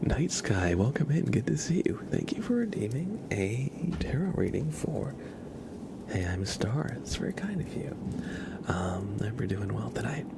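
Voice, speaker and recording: An ASMR livestream host of unclear sex speaking gently and politely to viewers in a breathy, creaky whisper, close to the mic. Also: medium pitch, big pitch swings, heavy background noise. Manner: slow, gentle, polite, thanking and welcoming viewers. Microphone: close sensitive ASMR microphone.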